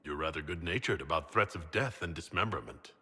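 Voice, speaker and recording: Low, raspy, considerate hero. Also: male voice, expressive, fairly quick, pushed and bright, big-room sound, exaggerated voice. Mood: wry, kind. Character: calm, considerate male leader hero, lightly wry. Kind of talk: video game character dialogue.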